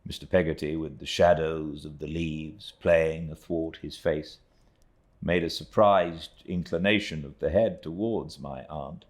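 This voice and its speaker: A British man reading a classic novel aloud as an audiobook narrator, in a scripted literary style. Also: extremely low pitch. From Britain.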